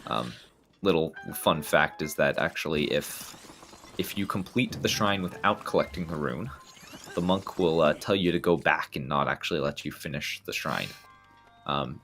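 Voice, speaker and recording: Male speedrun commentator, very low gravelly voice, laid-back explainer. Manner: relaxed, explanatory, frequent ums and restarts. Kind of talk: live game commentary.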